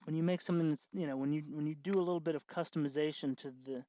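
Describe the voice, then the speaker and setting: A man hosting a do-it-yourself tutorial in casual, unscripted talk, halting and correcting himself as he goes. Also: on the high side, fairly even intonation, muffled recording. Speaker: host of a DIY costume electronics tutorial.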